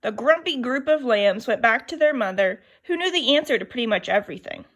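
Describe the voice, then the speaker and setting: Expressive woman reading a picture book aloud. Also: a little high, fairly quick. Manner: expressive and lively. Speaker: storyteller.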